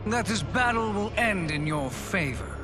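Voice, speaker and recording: An actor playing a smug, scheming sorcerer villain in a video game, taunting and theatrical with drawn-out delivery. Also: male voice, sits high, expressive. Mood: smug, menacing. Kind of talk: dramatic video game cutscene acting. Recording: voice under loud cinematic music.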